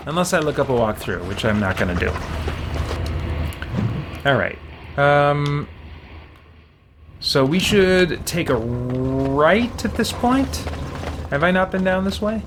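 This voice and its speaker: Male streamer, playful and folksy, casual gameplay commentary over clear background game noise. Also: mid-range voice. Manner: playful, mild folksy exclamations.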